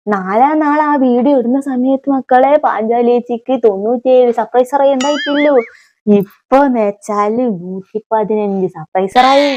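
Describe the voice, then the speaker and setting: A woman's voice: mid-range voice, mellow, dry recording, close to an everyday voice. A woman playing a homely middle-aged village auntie in a comic mock vlog, with a Kannur, north Kerala accent and a folksy, rambling way of addressing her viewers fondly. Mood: proud, grateful. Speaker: comic vlogger character.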